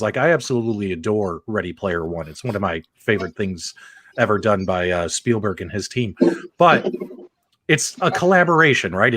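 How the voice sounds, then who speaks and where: A man's voice: mid-range voice, fairly bright tone, no echo. A man hosting a casual live talk show about video game movies, chatty and laughing, with frequent fillers. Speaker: host. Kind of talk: casual livestream chat.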